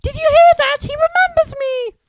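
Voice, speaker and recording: A reader voicing an excitable little old wizard in a top hat who gushes with delight. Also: very high-pitched, fairly quick, background noise, voice pushed up. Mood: overjoyed. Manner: gushing, squealing with excitement.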